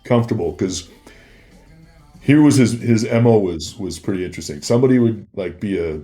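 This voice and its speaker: A man's voice: fairly low pitch, lively intonation, fairly quick, warm tone. A man telling anecdotes casually as a podcast guest, acting out remembered exchanges as he goes. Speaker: guest, former celebrity security worker.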